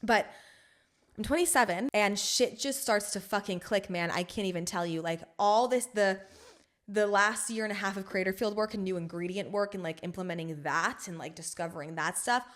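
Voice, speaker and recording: A young adult woman hosting her own podcast, talking in a frank, rambling way full of swearing and filler words, with emphasis that swings between loud and soft. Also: medium pitch, normal speed. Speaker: podcast host. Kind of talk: casual solo podcast monologue.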